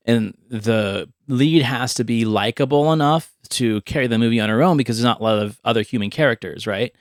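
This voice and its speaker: Male podcast host, mid-pitched voice, casual film chat, vivid storyteller, dry close mic. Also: a little fast, dry recording.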